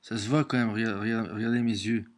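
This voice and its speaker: A man with a cold, talking alone to his camera at home in a halting way, with many repeated words and restarts. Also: lowish voice, steady tone. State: has a cold. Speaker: vlogger sharing daily messages. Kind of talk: casual home video monologue. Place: small room at home, facing a window.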